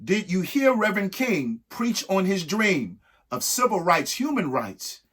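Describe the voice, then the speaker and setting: A husky-voiced man reading a rhyming picture book aloud expressively, emphatic, with pauses between short phrases. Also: sits high, big pitch swings, a bit bright. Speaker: reader of a children's picture book. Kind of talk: expressive read-aloud of a rhyming alphabet book with spoken asides.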